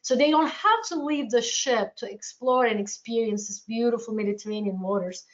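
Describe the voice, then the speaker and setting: A woman with a Turkish accent speaks in a fairly high voice as she presents travel products in an informal webinar. Her delivery is enthusiastic, and the audio is dull and lo-fi. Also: moderate pace. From Turkey.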